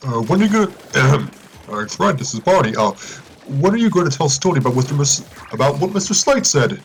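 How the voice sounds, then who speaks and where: A man's voice: fairly high pitch, big pitch swings, a little fast, soft background music. A man voicing a worried, good-natured cartoon caveman best friend who talks in a hesitant stammer.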